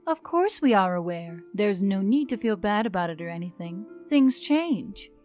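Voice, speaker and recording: A woman voicing a gentle, earnest otherworldly woman in audiobook dialogue, reassuring and measured in formal phrasing. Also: mid-range voice, animated, faint music behind, lightly acted.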